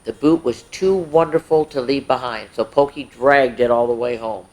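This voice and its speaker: A woman hosting story time, reading aloud to young children in an expressive way with little asides. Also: rumbling low, moderate pace, low-quality recording.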